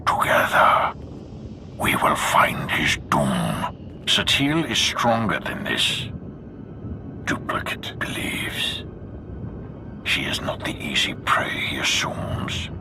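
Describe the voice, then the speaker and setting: A grave, cryptic game character with an extremely low, breathy voice. He gives counsel slowly and solemnly, with music underneath. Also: huge pitch swings, full character voice. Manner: slow, measured, grave. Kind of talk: dramatic video game voice acting. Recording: music behind the voice.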